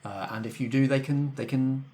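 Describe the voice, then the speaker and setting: Man, mid pitch, game master, casual explanatory delivery, thinking aloud. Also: fairly quick, warm tone. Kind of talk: casual tabletop game facilitation, scripted credits at the end.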